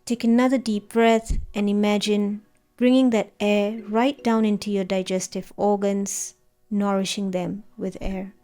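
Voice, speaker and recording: A woman narrating a guided meditation in a gentle, soothing, unhurried manner. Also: mid-range voice, level tone. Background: background music.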